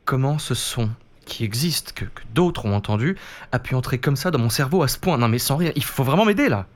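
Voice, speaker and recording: An actor playing an exhausted, obsessed man tormented by a noise, speaking in an agitated, halting, repetitive way in a naturalistic audio drama. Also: mid-range voice, expressive, natural voice. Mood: exasperated. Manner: agitated, halting, repeating himself.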